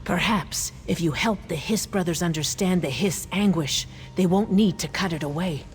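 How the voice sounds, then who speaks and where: A woman's voice: fairly low pitch, normal speed, close to an everyday voice. Actress as uneasy lizard lady game guide, thoughtful, big echo, bit of music. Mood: pensive, uneasy. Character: pensive, uneasy female lizard-folk guide with claws. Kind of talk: video game character acting. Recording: long hall reverb.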